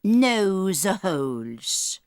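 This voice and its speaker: An actress playing a doting, gravely dramatic old Norwegian grandmother in an audiobook drama, telling things with theatrical emphasis. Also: mid-range voice, sing-song, a little mellow, no room sound, studio quality. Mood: dramatic, instructive. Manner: theatrical, emphatic, slow and deliberate storytelling.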